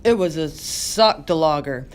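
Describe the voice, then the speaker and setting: A woman's voice: sits low, animated, a little slow. A woman playing a modest, easygoing male 1920s baseball star giving slangy answers in a mock radio interview. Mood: modest, pleased.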